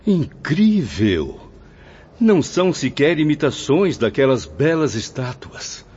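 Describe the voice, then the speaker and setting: An actor playing an appalled, wondering apprentice spirit in a radio drama, speaking with dramatic emphasis. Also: male voice, a little high, big pitch swings, slow, a little mellow, a little hiss or hum. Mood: astonished. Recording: dull lo-fi audio. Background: clear background music.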